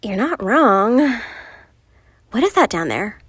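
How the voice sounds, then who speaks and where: A woman playing a lovelorn, frustrated passenger venting confessionally in an animated series. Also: on the high side, slow pace, breathy edge, no room sound, studio quality, heavily acted. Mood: questioning.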